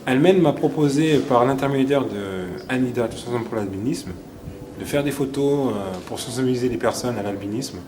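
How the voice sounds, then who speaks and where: A man's voice: mid-range voice, a little fast, noisy background. A young man in his early twenties who works as a model, speaking shyly and hesitantly in an interview. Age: young adult. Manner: shy, hesitant. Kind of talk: testimonial interview.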